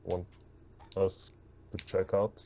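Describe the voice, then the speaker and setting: A man's voice: low-pitched, completely flat delivery, slow, gravelly, dark tone, slight background noise. A man playing a tabletop game, talking casually and tentatively as he asks questions.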